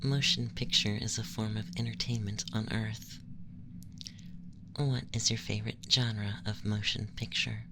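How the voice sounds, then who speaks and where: Slow, hushed man as alien interrogator. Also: on the low side, steady tone, background noise. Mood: clinical, curious. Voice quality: soft, hushed, breathy whisper. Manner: slow, measured, detached, formal questioning. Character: young effeminate male alien abductor, clinical, politely curious. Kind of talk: ASMR roleplay, scripted questionnaire. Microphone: close microphone.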